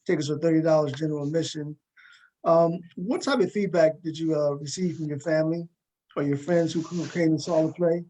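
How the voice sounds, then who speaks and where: A man with a fairly high-pitched voice works as a talk show host. He interviews guests casually. He tends to double up short phrases as he acknowledges answers. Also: steady tone, a little fast, dark tone, slight background noise, low-quality recording. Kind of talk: casual interview with cast members.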